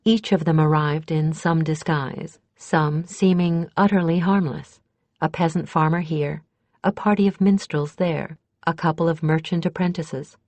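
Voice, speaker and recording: A woman's voice: low-pitched, fairly even intonation, unhurried, darkish tone, dry recording. A woman reading scripted fantasy fiction as an audiobook narrator.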